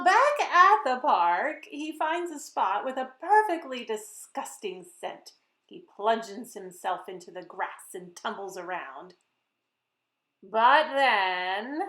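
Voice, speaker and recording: A woman reading a picture book aloud to children in an expressive way, speaking to her young listeners directly. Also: a little high. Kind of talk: animated children's story read-aloud. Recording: roomy echo.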